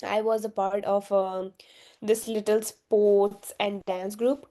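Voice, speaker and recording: A woman's voice: medium pitch, level tone, takes it slowly, mellow. A young woman from Gujarat, India, a native Gujarati speaker and university student, answering interview questions casually with many hesitant fillers. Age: young adult.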